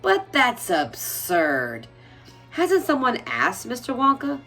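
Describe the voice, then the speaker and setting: A woman voicing a curious young boy in a storybook, asking questions in a quick, eager way. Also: fairly high pitch, wildly swooping pitch, sharp tone, faint music behind, voice pushed up, heavily acted. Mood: incredulous.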